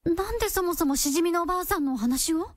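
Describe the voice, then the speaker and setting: A woman's voice: extremely high pitch, lively intonation, fast, dry recording, studio quality. An actress playing an earnest, polite and hesitant young woman in a radio drama. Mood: surprised. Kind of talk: Japanese radio drama acting.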